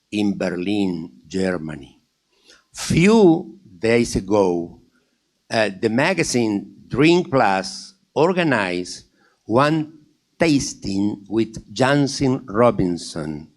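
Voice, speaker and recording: A man's voice: on the low side, darkish tone. A man from Argentina with an Argentine Spanish accent, giving a conference panel talk, emphatic and answering his own rhetorical questions. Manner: repeats phrases for emphasis. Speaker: panel speaker at a wine industry forum.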